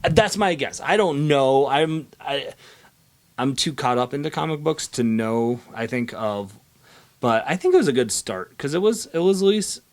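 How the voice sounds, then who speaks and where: A man with a lively, widely swinging pitch hosts a casual vlog. He is upbeat and coaxing, chatting with his partner and turning to the viewers like a friendly gang leader. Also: moderate pace. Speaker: host of a comic book video series.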